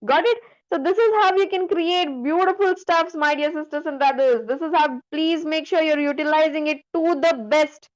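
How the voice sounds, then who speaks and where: High-pitched woman teaching a software tutorial. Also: normal speed, dull audio. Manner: instructive, frequently checking the listener follows with short questions. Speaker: instructor. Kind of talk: screen-share software tutorial.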